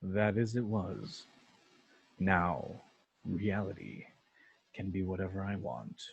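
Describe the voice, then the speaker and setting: A man playing a calm, menacing alien tyrant in a table read, with a deep, gravelly voice and a slow, fatherly condescension. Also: lo-fi sound, exaggerated voice. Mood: calm menace. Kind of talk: amateur table read of a film script.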